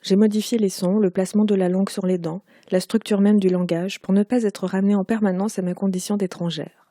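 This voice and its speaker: A woman in her forties from Quebec, speaking with a Parisian French accent, reading aloud from her own book in a measured, unhurried way. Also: medium pitch, fairly even intonation, dark tone, no room sound, studio quality. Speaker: author.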